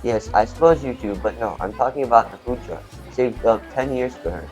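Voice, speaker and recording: A man's voice: medium pitch, animated, fairly quick, a little mellow, faint music behind, close to an everyday voice, rough recording. A man acting a cheerful, boastful food truck owner in an amateur radio play, with earnest, theatrical, formal wording. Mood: eager, confiding.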